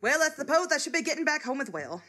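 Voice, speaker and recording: A woman's voice: sits high, sing-song, fast talker, pushed and bright, exaggerated voice. A woman playing a sweet, doting small-town wife, gushing and prim, in a live comic radio play. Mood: sweet, pleased.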